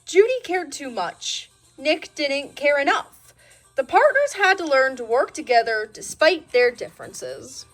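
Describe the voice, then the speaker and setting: A woman reading a children's storybook aloud in an expressive storytelling style, as narrator. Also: sits high, unhurried, faint music behind, low-quality recording. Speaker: storybook reader.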